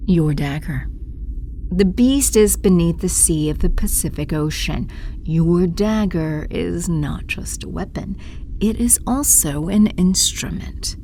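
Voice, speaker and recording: A woman playing an imperious evil empress, coldly commanding with breathy half-whispers, in an audio drama. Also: fairly low pitch, animated, slow, dark tone, slight background noise. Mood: scheming.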